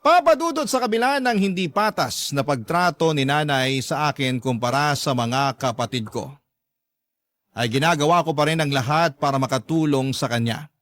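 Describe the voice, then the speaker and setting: A man playing a long-suffering young man who narrates his own story in a measured, confiding way for a radio drama. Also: a little high, animated, fairly bright tone. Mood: earnest, wistful. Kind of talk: scripted first-person narration for radio drama.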